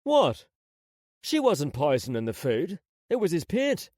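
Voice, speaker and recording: A man's voice: on the high side, wildly swooping pitch, measured pace, darkish tone, no echo, clean studio sound, heavily acted. A man voicing a flippant, cocky male mobster in an audiobook, with a taunting manner.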